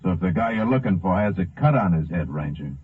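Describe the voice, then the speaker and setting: Defiant suspect with swooping voice. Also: male voice, low-pitched, animated, fast. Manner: defensive, insolent. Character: defiant, evasive male auto mechanic accused of robbery. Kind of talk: 1950s radio drama acting. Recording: muffled, narrow vintage radio recording.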